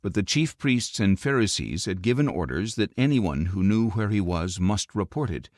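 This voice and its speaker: Low-pitched man reading scripture, highly expressive. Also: moderate pace, dry recording, clean studio sound. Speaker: audiobook narrator.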